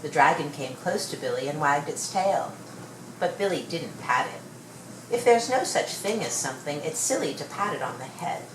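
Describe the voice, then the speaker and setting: Female storybook reader, low register, expressive measured delivery, roomy sound with faint background noise. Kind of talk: read-aloud children's story narration.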